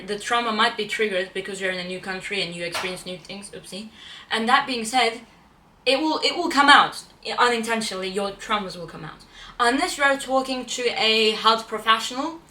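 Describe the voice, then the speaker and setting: Young Turkish-native woman vlogger, bright-toned and slightly high, chatty, in an echoey room a little off-mic. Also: far from the mic. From Turkey. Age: young adult. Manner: chatty, repeats and restarts phrases, self-correcting. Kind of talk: casual talk to camera.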